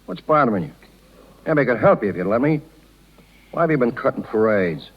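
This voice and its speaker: An actor playing a plain-spoken, protective circus worker in a 1930s radio drama, with blunt, slangy working-class talk. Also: male voice, mid-range voice, big pitch swings, fairly quick, breathy edge. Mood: concerned, gentle. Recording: dull 1930s radio recording.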